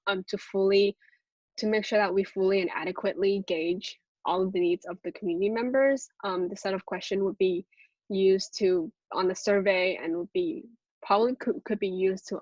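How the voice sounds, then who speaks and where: A woman's voice: medium pitch, a little mellow, no room sound. A young adult woman who speaks Cantonese at home, giving a hesitant intern presentation over a video call. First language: Cantonese at home, speaks several dialects. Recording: dull, lo-fi video call audio.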